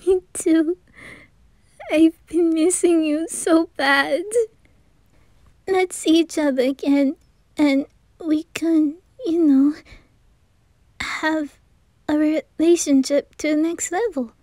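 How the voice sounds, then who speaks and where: A shy, lovestruck boy played by a woman speaks in a squeaky, childlike voice. He is timid and halting, taking his time in a romantic audio roleplay, recorded close and dry. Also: fairly even intonation, warm tone, no room sound. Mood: tearful affection. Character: shy, lovestruck teenage boy singer, small and sweet.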